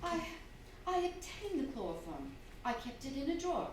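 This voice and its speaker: An actress plays a young widow in a staged radio drama. Her voice is high and very lively, with emphatic, dramatic pauses. She is heard at a distance in a heavily echoing theatre. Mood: distressed, pleading. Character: young unhappy widow, cool and composed, pleading when cornered. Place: theatre stage, live performance. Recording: very echoey hall, distant mic, faint noise.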